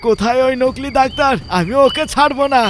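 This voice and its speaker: Whining, high-pitched village patient. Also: male voice, a little fast, over music. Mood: angry. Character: suffering male village patient, whining and urgent. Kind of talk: cartoon dialogue.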